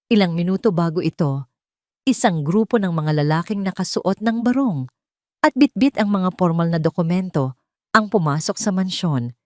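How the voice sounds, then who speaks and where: Low-pitched woman narrating a dramatic story. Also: expressive, normal speed, no echo, clean studio sound.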